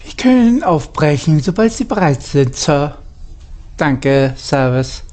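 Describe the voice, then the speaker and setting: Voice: mid-range voice, big pitch swings, takes it slowly, a little mellow. A person delivering scripted starship crew dialogue in character for a sci-fi role-play.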